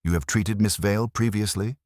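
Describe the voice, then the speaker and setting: A man reading the part of a fastidious detective, speaking in a precise, probing, formal way. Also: fairly low pitch, darkish tone, no room sound, clean studio sound, pitched above the performer's own voice, lightly acted. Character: fastidious Belgian male detective, middle-aged.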